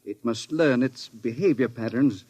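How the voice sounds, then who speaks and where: An actor playing a proud, secretive older professor in a 1950s radio drama, formal and pedantic. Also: male voice, medium pitch, a little slow, a little mellow, breathy edge, dry recording.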